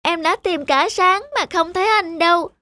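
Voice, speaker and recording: A woman's voice: very high-pitched, moderate pace, pushed and bright, soft background music. A woman voicing an anxious, devoted little sister in a children's cartoon dub, with a fretful, pleading manner. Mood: worried.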